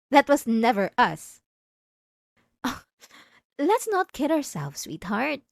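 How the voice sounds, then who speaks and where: A woman playing a possessive, obsessive wife in a dramatic ASMR roleplay, speaking in an intimate, taunting and insistent way with drawn-out emphasis. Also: mid-range voice, no room sound, studio quality, lightly acted. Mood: indignant, mocking. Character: possessive, obsessive yandere wife, sweetly menacing and manipulative.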